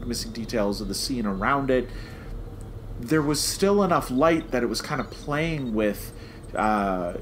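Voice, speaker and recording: A man's voice: medium pitch, fairly bright tone, a little hiss or hum. A man hosting a casual review podcast, talking emphatically and repeating phrases for stress. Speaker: podcast host.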